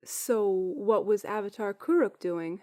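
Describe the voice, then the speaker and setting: A woman's voice: medium pitch, measured pace, lightly acted. A young woman playing an earnest, self-doubting and stubborn girl in a fan visual novel drama. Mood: subdued, curious. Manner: earnest, expressive, questioning.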